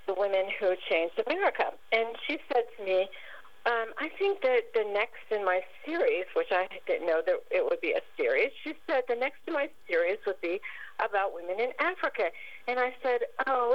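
A woman guest on a radio interview, telling a story in a relaxed way. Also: medium pitch. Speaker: guest author. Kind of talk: interview answers. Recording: dull lo-fi phone-line audio.

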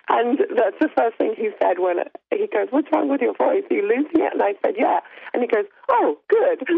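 A woman hosting a radio talk show, chatting casually and laughing often, her voice still rough from laryngitis. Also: fairly high pitch, huge pitch swings, moderate pace. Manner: chatty, laughs often while telling stories. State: recovering from laryngitis. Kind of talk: casual on-air chat. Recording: tinny phone-line sound, dry.

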